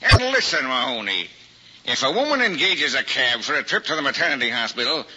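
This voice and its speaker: An actor playing a gruff, impatient, sarcastic boss with a raspy voice, scolding emphatically in an old-time comedy drama. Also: male voice, mid-range voice, very animated, brisk, bright, forceful tone, full character voice. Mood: scornful. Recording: dull vintage recording, long hollow reverb.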